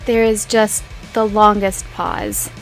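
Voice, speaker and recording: A woman's voice: medium pitch, level tone, slow pace, vocal fry, faint music behind. A woman game master casually narrating a tabletop roleplaying game.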